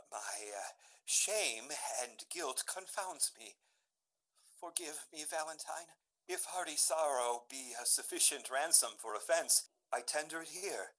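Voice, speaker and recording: A man's voice: fairly high pitch, expressive, slow, pushed and bright, exaggerated voice, low-quality recording. An actor playing an ardent, faithless young male lover in a Shakespeare radio drama, speaking verse theatrically. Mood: ashamed.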